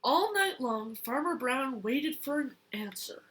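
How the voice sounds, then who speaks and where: A young child reading a picture book aloud in a deliberate, expressive way. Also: sits high. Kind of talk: storybook read-aloud.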